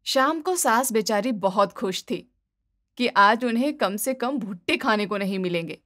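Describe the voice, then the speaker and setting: A woman's voice: a little high, animated, measured pace, fairly bright tone. A woman storyteller giving scripted narration of a moral story.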